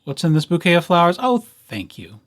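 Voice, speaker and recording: A man's voice: a little high, huge pitch swings, a little fast, a bit bright. A man presenting an informal screencast tutorial for library users, talking through each step in a playful, conversational way with little asides.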